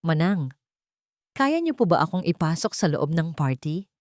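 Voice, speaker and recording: Female reader as young housemaid, respectful, pleading, determined, low-pitched, audio drama. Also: sing-song, normal speed, a little mellow, dry recording, clean studio sound.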